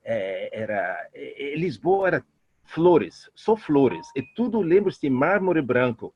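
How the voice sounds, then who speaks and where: A middle-aged man from Italy speaking with an Italian accent as a guest expert, telling personal stories quickly with many hesitations and restarts. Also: medium pitch, husky. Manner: fast, animated talker with many hesitations and restarts. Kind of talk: interview answers, personal storytelling. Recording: muffled lo-fi audio.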